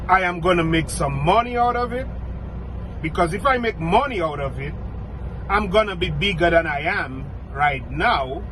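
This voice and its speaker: A man's voice: high voice, noisy background. A middle-aged man from Dominica with a Dominican accent, speaking bluntly and repeating his points for emphasis. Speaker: vlogger. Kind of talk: outspoken direct-to-camera commentary.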